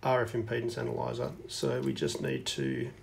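A man's voice: medium pitch, sing-song, normal speed, vocal fry, darkish tone, slight background noise. A man giving a casual hands-on demonstration as a hobbyist reviewer. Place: indoors at a wooden tabletop.

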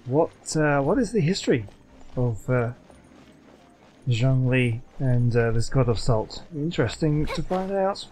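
A man's voice: mid-range voice, animated, a little slow, a little mellow. A man giving casual gameplay commentary, hesitant and talking half to himself. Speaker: gaming YouTuber. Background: game music.

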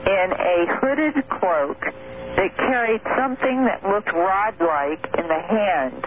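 A woman guest on a call-in radio show, explaining at length in a measured, detailed way with filler pauses. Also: medium pitch. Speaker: guest researcher. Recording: tinny phone line with faint hiss.

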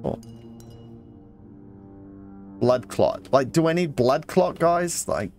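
A man's voice: on the high side, lively intonation, slow, a little mellow, faint music behind. A man playing a video game, casually commenting, reading in-game texts aloud and muttering asides to himself. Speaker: let's play gamer.

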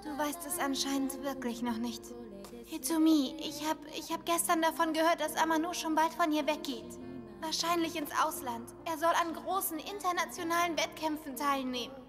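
High-voiced caring schoolgirl played by a woman. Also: normal speed, music in the background, close to an everyday voice. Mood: earnest, sad. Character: caring teenage schoolgirl, hesitant best friend.

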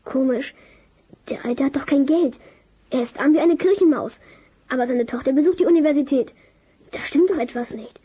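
An actor voicing an eager, cheeky child detective in a German children's radio play, excitable and quick to judge. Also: high-pitched, lightly acted. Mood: insistent.

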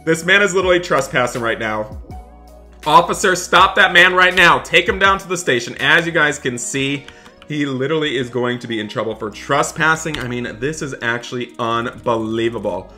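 Very bright, forceful young man, gaming commentary. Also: mid-range voice, moderate pace. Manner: dramatic, emphatic, repetitive exclaiming. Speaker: gaming video creator. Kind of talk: roleplay gameplay commentary for viewers. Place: indoors, voice-over on game footage. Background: music.

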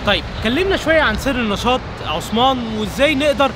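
A man's voice: very high voice, lively intonation, heavy background noise. A young man with an Egyptian Arabic accent, hosting a casual vlog outdoors at night and chatting with a guest. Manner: brisk and upbeat, addressing viewers directly. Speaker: vlogger and interviewer. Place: outdoors at night by a city waterfront.

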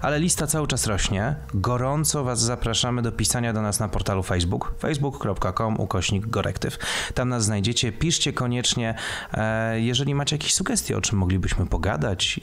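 A man's voice: mid-range voice, moderate pace. A man co-hosting a film podcast, asking questions and steering the conversation. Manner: conversational, steers the talk and wraps up. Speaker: podcast co-host. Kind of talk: casual film discussion.

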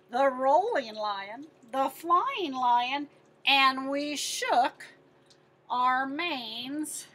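Animated, high-voiced woman reading to children. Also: slightly rough. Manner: expressive, asks the listeners questions. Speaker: teacher reading aloud to pupils. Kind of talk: animated children's picture-book read-aloud.

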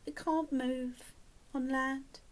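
A woman voicing a huge, helpless stranded whale in a picture book, slow and moaning. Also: high-pitched, steady tone.

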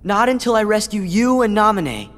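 An earnest teenage hero pleads with his friend. His voice is high and lively. There is reverb and soft music behind. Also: normal speed. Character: earnest hopeful teen boy hero. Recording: game audio with reverb and music.

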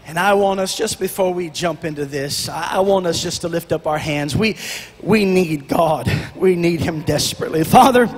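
A middle-aged man preaching on a church stage into a handheld mic, with a shouting, half-sung preacher cadence that drops to quiet prayer. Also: a little high, moderate pace, few pauses, slight background noise. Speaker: pastor. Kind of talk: Pentecostal sermon and prayer. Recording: long church reverb, music behind.

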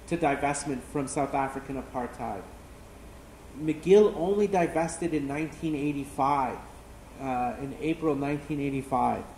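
A man's voice: on the high side, darkish tone. A man giving an impassioned panel talk as an activist, with many filler sounds, recorded in a university classroom. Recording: echoey classroom, faint noise.

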